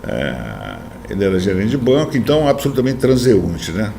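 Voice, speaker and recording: A man's voice: fairly low pitch, very animated, normal speed, vocal fry, mellow, slight background noise. A Brazilian-born man in his seventies, a poet and interview guest, talking in a reflective, rambling way and often tagging "né?". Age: 70s. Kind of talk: casual interview chat.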